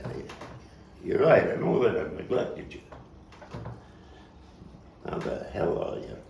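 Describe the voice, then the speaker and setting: A man's voice: deep voice, vocal fry, full character voice. A man playing a disheveled, nostalgic drunk in a stage monologue, rambling and stammering with emphatic bursts. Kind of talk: stage monologue at a table read. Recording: roomy, noisy, distant microphone.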